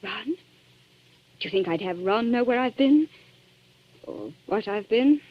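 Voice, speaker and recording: A woman's voice: on the high side, animated, unhurried. An actress playing a tense, proud young woman cornered by a blackmailer in a 1940s radio thriller, guarded and earnest. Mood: desperate. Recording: dull, dry vintage 1940s radio recording.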